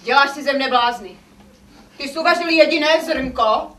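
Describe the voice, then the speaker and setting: Exasperated, reproachful master for stage comedy, male actor; very high-pitched, indignant, distant echoey sound. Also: bright, forceful tone, a little hiss or hum. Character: indignant master scolding his slave. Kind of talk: live comic stage acting. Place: theatre stage. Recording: echoey stage recording from far off.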